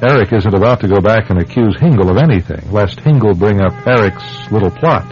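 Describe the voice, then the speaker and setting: Wry, animated male radio host. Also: lowish voice, a little fast. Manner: wry, rhetorical. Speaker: host and narrator. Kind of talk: classic radio scripted narration. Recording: dull radio recording. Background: faint music.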